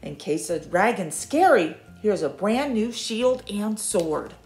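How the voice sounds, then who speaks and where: A woman voicing a knight's pushy parents in a storybook read-aloud, brisk and insistent in a high voice. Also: expressive, a little mellow, faint music behind. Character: bossy, cheery parents of a young knight. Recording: roomy echo.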